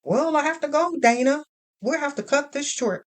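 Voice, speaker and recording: A woman voicing a pompous, self-important male boss with a polished, brisk, dismissive manner. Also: sits high, animated, voice pushed up.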